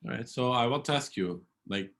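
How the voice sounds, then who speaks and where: A man hosting an interview podcast, asking questions in an earnest, measured way. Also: medium pitch, expressive, fairly bright tone. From Turkey. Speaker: podcast host.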